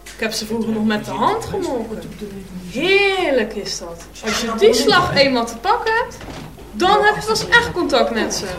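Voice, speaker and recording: Very high, eager young countrywoman. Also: sing-song, moderate pace, crowd noise. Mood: fond. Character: eager, lively farmer's daughter at work. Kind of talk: Dutch radio play acting. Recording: echoey, distant, noisy radio recording.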